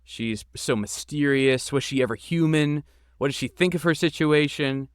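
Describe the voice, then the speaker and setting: Man, smooth resonant voice, very dry sound, informal and enthusiastic, chatting as an interview guest. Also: on the high side, normal speed, no echo. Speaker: podcast guest, game creator and voice actor. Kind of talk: casual interview answers.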